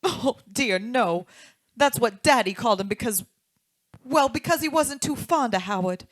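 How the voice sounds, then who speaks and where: A woman plays an anxious young married woman from a vintage-style radio detective drama. She talks earnestly and politely in a slightly high voice, recorded dry without room sound. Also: animated, normal speed, dry recording. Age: young adult. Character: anxious, well-off married woman in her late twenties. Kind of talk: old-time radio drama acting.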